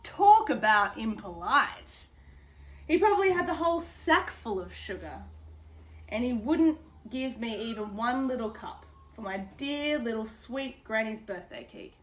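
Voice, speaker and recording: A woman plays a chatty storybook wolf who insists he is innocent. The voice is high and takes its time over every phrase. He confides in the listener with folksy, exaggerated storytelling. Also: animated, unhurried, low-quality recording. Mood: indignant, self-justifying. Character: self-justifying chatty male wolf protesting his innocence. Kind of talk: children's storybook read-aloud.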